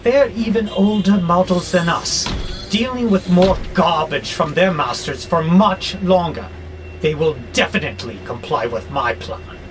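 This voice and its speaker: A man's voice: sits high, over music. A man acting in an audio drama as an arrogant, frustrated warehouse robot plotting war, with a grandiose, exasperated delivery. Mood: scheming. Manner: grandiose, slow and theatrical, exasperated. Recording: clearly noisy background hum.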